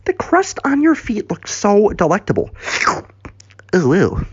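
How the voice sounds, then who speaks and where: A creepy, fawning obsessive played by a storyteller, gushing and leering. The voice swings widely in pitch, in comic-story style, with a slightly dull sound. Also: wildly swooping pitch, a little slow, slightly rough, no room sound, heavily acted. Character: Dougie, creepy, fawning, obsessive foot-lover.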